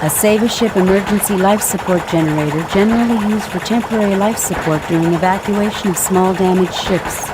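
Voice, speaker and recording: A woman's voice: a little fast, hardly any pauses. Matter-of-fact woman narrator over noisy hum. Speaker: game narrator. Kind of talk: scripted descriptive narration. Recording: noisy game audio with rumbling hum.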